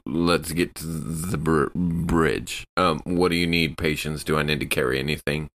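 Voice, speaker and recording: A man's voice: rumbling low, fairly even intonation, normal speed, fairly bright tone. A man chatting casually as a player in a tabletop role-playing game.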